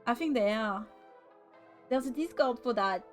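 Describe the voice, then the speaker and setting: A woman's voice: fairly high pitch, unhurried, faint music behind. A woman with a French accent, a native French speaker, chatting casually as a streamer, giggling often and stumbling over repeated words. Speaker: VTuber streamer.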